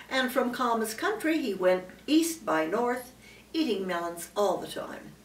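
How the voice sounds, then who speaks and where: An older woman, a great-grandmother, reading a children's storybook aloud with emphatic phrasing and explanatory asides. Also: mid-range voice. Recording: roomy, distant mic, faint background noise.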